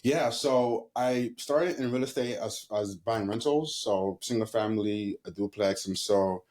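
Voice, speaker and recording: A man in his thirties from Haiti, a guest answering interview questions in a relaxed, storytelling way. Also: lowish voice, unhurried. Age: 30s. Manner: relaxed, storytelling, laughs easily.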